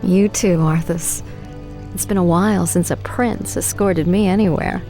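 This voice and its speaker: An actress playing a poised, thoughtful young sorceress in a fantasy video game, composed and articulate. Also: female voice, on the low side, fairly even intonation, measured pace, mellow, faint music behind, low-quality recording. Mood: friendly.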